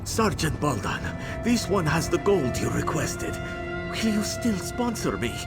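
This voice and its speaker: A man's voice: fairly high pitch, big pitch swings, a little slow. A man's voice playing a humble, courteous cat-folk petitioner in scripted game dialogue. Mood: deferential. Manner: deferential, speaks in third person. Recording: loud music over the voice.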